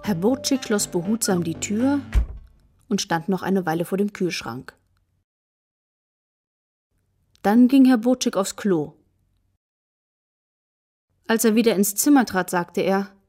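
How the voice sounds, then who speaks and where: A woman as the calm, measured, deadpan narrator of a German children's radio play. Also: mid-range voice, soft background music. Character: calm female storyteller of a children's tale. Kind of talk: scripted narration.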